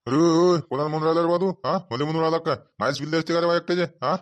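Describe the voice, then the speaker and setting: A man's voice: fairly high pitch, rapid-fire, natural voice. A man voicing a talkative village farmer with a rural Vidarbha Marathi accent in cartoon comedy dialogue, rambling and repetitive. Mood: indignant. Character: talkative male village farmer chairing a local meeting.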